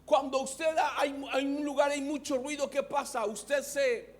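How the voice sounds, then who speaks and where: A man's voice: very high-pitched, steady tone, unhurried. A man with a Mexican Spanish accent, preaching a sermon as pastor and having the congregation repeat words after him.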